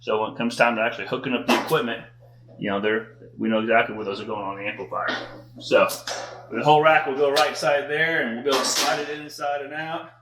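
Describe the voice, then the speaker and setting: A man's voice: medium pitch, fairly even intonation, fast, fairly bright tone, soft background music. A middle-aged man giving a casual, folksy walkthrough as an installer on a job site. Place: large unfinished house interior, hard floors. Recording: echoey empty rooms with long reverb.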